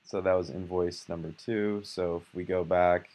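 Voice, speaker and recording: A young man casually narrating a screencast walkthrough of his software, relaxed and conversational, with fillers and self-corrections. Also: low-pitched, normal speed. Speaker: software developer demoing his app.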